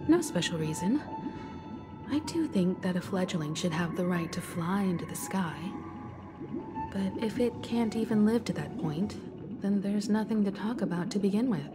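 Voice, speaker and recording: Actress as composed, matter-of-fact game heroine. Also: female voice, medium pitch, animated, moderate pace, over music, natural voice. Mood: calm, pragmatic. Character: composed, pragmatic woman, matter-of-fact and unsentimental. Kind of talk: video game cutscene acting.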